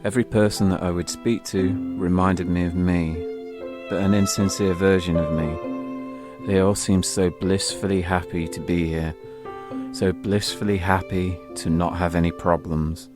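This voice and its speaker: A man's voice: low-pitched, flat delivery, unhurried. A British man telling a scripted first-person story as an audio drama narrator, wry and confessional, with music behind him.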